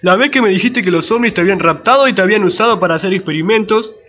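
A man puts on a naive young Argentine boyfriend in a comic radio serial. The voice is high and whiny, full of childish complaint. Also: very fast, lo-fi sound, lightly acted. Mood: incredulous.

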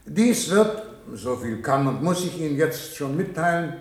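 A formal, authoritative man as a bureaucratic project director in a 1970s radio play. He lectures in measured, smoothly evasive phrases, with a roomy echo around his voice. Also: a little high, lively intonation. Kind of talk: 1970s German radio drama acting, formal address.

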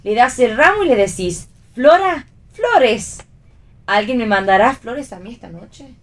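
A woman with an Argentine accent playing a lively young actress, a bossy, reassuring friend, in a radio play reading. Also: fairly high pitch, measured pace. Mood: playful coaching. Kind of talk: read theatre for radio.

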